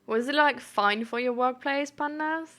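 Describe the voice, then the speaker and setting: A young woman chatting casually on a live stream, full of fillers and quick reactions to chat. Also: high voice, sharp tone. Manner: chatty, filler-heavy, reacts aloud to chat with surprise and laughter. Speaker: streamer and peer support coach.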